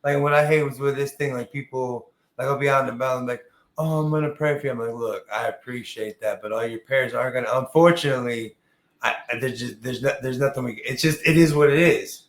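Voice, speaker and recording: A man's voice: mid-range voice, fairly even intonation, a little fast. A man in his early forties hosting a casual interview, talking in an informal, slangy, rambling way. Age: early 40s. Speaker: podcast host. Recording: echoey, roomy sound.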